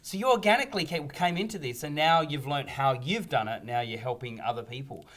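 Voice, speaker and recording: A man's voice: a little high, a little fast, fairly bright tone. A man hosting an interview show, eager and often stumbling over the first words of his questions. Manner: eager, repeats words when starting questions. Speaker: host.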